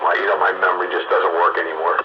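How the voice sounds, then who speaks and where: A man's voice: sits low, level tone, quick pace. A man making easygoing, colloquial technical chat as a hobbyist over the radio. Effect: two-way radio transmission. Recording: muffled radio audio with loud noise.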